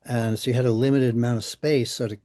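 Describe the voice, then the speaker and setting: A man's voice: mid-range voice, level tone, fast talker, mellow, dry recording, studio quality. An older man telling anecdotes casually and conversationally in an interview. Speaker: musician being interviewed.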